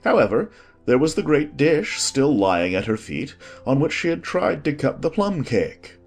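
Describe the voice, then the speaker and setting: A man with a husky voice reading a scripted story as an expressive audiobook narrator. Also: fairly high pitch, unhurried. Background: faint music.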